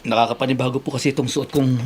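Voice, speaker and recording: A man's voice: mid-range voice, little pitch movement, rapid-fire, a little mellow, slight background noise, close to an everyday voice. An actor playing a hopeful, grateful young man in a Filipino radio drama. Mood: humble, grateful.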